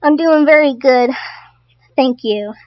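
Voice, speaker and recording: A woman's voice: high-pitched, slow, dry recording, dull audio. A woman streaming casually to her chat, stuttering often and repeating her words. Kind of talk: casual live-stream commentary.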